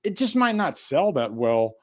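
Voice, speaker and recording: A man's voice: fairly high pitch, sing-song, a little slow. A man co-hosting a podcast, discussing film and TV casually and repeating words as he works through his explanations. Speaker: podcast co-host.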